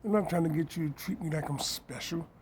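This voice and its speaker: A man's voice: a little high, a little fast, darkish tone, a little hiss or hum. A man, getting over a cold, talking emphatically and repetitively in a casual live stream about his businesses. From New York. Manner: emphatic, repetitive, self-promoting. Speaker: fashion designer and business owner.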